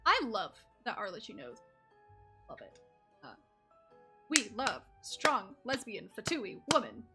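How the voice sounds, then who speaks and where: A woman's voice: medium pitch, huge pitch swings, measured pace, over music. A woman giving casual, reactive and teasing live commentary while she plays a game. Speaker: game streamer.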